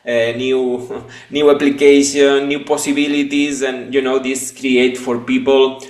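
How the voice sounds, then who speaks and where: A man hosting a live webinar over a video call, his speech halting and full of restarts and a questioning "no?". His voice sits at a middle pitch and carries an echo from the room he is in. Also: little pitch movement. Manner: halting, frequent restarts and tag "no?". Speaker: webinar host and business coach. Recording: echoey room.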